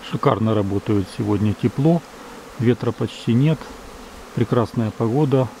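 Male beekeeper from Ukraine, mellow gravelly voice, matter-of-fact outdoor narration over faint bee buzz. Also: medium pitch, a little hiss or hum, rough recording. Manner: measured, matter-of-fact, reads out times and temperatures. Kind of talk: calm narration of apiary footage. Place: outdoors at an apiary beside hives. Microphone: camera's built-in mic. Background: buzzing bees.

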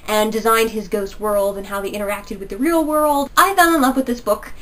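A woman's voice: a little high, a little fast, a bit bright, recorded from a distance. A young woman in her twenties with a stuffy nose from a cold, chatting enthusiastically through a book review in a small bedroom. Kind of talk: casual video book review. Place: small bedroom with posters, indoors.

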